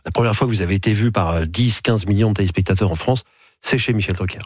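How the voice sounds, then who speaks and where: A man hosting a casual radio interview, chatting in an unhurried way with many hesitations. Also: fairly low pitch, dry recording, studio quality. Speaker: radio host. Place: radio studio. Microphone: studio microphone.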